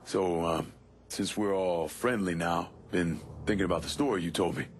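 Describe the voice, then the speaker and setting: Man acting deep-voiced, tough Southern American avenger. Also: steady tone, moderate pace, a little hiss or hum, lightly acted. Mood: quietly probing. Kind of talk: dramatic game cutscene acting. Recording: faint music under the voice.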